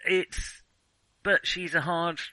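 Bright, hesitant man discussing film. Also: a little high, fairly even intonation, dry recording, low-quality recording. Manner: hesitant, halting, self-correcting mid-sentence. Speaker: film podcast co-host.

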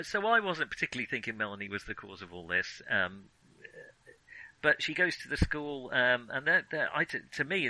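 A man's voice: on the low side, sharp tone, no room sound, rough recording. A man co-hosting a casual film discussion, rambling and hesitating as he thinks aloud. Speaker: podcast co-host.

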